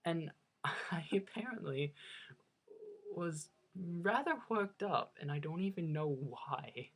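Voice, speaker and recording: A man's voice: a little high, expressive, slow pace, husky. A young man from the United States with a slight Norwegian accent, telling a funny personal story in a chatty, self-mocking way with hesitant restarts. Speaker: vlogger. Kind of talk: casual storytelling.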